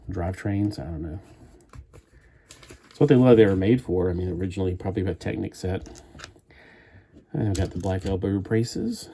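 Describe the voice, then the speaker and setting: A man's voice: deep voice, warm tone, breathy edge. A man chatting at home as he builds a toy kit live, meandering and thinking aloud with frequent restarts. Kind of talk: rambling live-stream chat while building.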